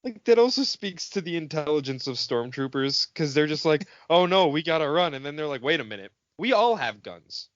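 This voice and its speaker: A man with a fairly high, very animated voice banters about film as a podcast co-host. He is sarcastic and sweary, acting out bits mockingly, on a very dry recording. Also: brisk, hardly any pauses, no echo, highs cut off. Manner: sarcastic, profane, mimics lines mockingly.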